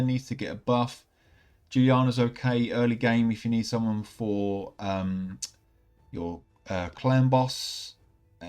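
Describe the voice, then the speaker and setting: A man, slightly croaky from a cold, chatting casually and rambling as he opens game packs for his channel. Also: lowish voice, darkish tone. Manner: chatty, upbeat, rambling with many fillers. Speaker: gaming channel host. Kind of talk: casual commentary while opening game packs.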